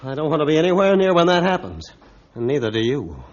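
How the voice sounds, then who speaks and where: An actor playing a grave, resolute ship's captain in a 1950s radio drama, speaking formally and solemnly. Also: male voice, on the high side, sing-song, fast talker. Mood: foreboding. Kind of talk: 1950s radio adventure acting. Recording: muffled old radio recording.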